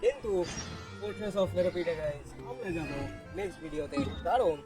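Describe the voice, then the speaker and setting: A man's voice: sits high, lively intonation, rapid-fire. A man with a Bengali accent giving casual gameplay commentary, talking informally and directly to his viewers. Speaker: gaming video host. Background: game music.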